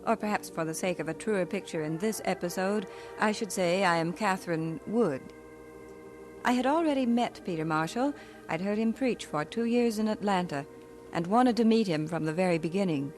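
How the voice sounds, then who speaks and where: A woman narrating her own story in a gentle, reflective 1940s radio drama style. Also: medium pitch, normal speed. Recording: vintage radio recording with music.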